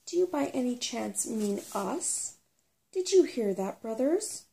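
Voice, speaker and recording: A woman's voice: on the high side, sing-song, slow pace, vocal fry, dark tone, voice pushed up, heavily acted. A woman voicing a tiny stout male dwarf in a storybook, bossy, suspicious and blunt. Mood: gruff, indignant.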